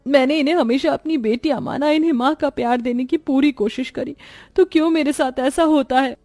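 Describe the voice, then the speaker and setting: A woman's voice: sits high, a little fast, darkish tone. A woman playing emotional women of a troubled family in a moral story, with emphatic, melodramatic delivery. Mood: hurt, pleading. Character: dramatic young and motherly women of a troubled family.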